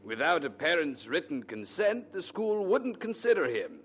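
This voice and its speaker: Expressive male radio drama narrator. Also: on the high side, normal speed, slight background noise.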